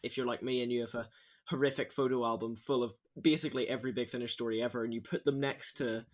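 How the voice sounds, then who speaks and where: A man's voice: medium pitch, fairly even intonation, fast. An Irish man, an audio drama actor, chatting casually and effusively, often stammering and restarting his phrases. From Ireland. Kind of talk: casual behind-the-scenes chat.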